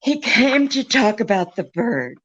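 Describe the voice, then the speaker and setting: An actress playing a coy, evasive femme fatale in an old-time radio drama, speaking in hesitant, broken phrases. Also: female voice, fairly high pitch, very animated, unhurried, fairly bright tone, exaggerated voice. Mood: hesitant, anxious. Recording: muffled video-call audio, faint music.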